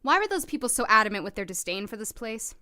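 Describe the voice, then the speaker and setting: Woman acting a polite young lady doctor, clear bright voice, earnest and composed, audio drama. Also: a little high, very animated. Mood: curious, courteous. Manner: courteous, earnest, measured.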